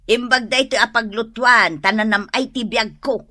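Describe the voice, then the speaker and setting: A woman's voice: a little high, normal speed, sharp tone, no echo, studio quality. A woman playing a dramatic, doting housewife in an Ilocano radio comedy drama, with an Ilocano accent. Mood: sulky, devoted. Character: dramatic housewife doting on her cooking stove.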